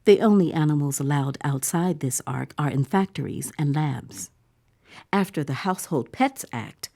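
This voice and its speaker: A woman performing audio fiction as an awed theme-park visitor in a bleak future, mixing breathy wonder with wry understatement and often slipping into a whisper. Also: deep voice, warm tone, heavily acted. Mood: dry irony. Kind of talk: dramatic first-person audio fiction narration.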